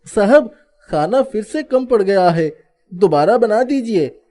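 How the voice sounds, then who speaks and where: A woman's voice: mid-range voice, expressive, slow pace, warm tone. A woman voicing an eager, deferential young male restaurant helper in a storybook tale. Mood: eager, curious. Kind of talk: animated storybook dialogue.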